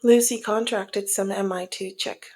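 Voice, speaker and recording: A woman playing a teasing, dominant mob boss in an ASMR roleplay, with a breathy, husky voice that often slips into a whisper and a slyly menacing, sultry manner. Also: mid-range voice, steady tone, a little slow, darkish tone. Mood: sly, businesslike. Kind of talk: ASMR roleplay audio drama.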